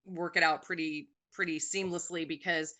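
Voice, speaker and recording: Bright-voiced woman in casual chat. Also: low voice, fairly even intonation, moderate pace. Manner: chatty, warm about her music, many "um"s. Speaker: guest, singer and actress.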